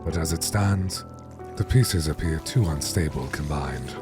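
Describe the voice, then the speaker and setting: A man's voice: a bass voice, measured pace. A man playing an obsessive, brooding skeleton scientist in a dramatic comic dub, with precise, formal diction. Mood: thoughtful. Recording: music behind the voice.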